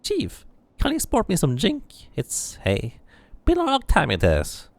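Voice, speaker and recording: Man doing a high, cheeky talking skull sidekick. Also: huge pitch swings, measured pace, no echo, exaggerated voice.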